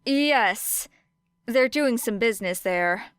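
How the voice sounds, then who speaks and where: A woman's voice: a little high, lively intonation, slow, clean tone, no room sound, clean studio sound. A woman voicing a guarded, wary teenage schoolgirl in an audiobook, speaking carefully and with understatement. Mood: guarded, casual. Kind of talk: acted audiobook dialogue.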